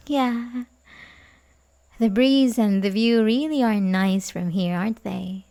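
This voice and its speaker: A woman playing an obsessive, manipulative young babysitter in love in an ASMR roleplay, halting and pleading with soft menace. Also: on the high side. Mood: smugly content. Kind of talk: ASMR roleplay audio drama, addressing the listener.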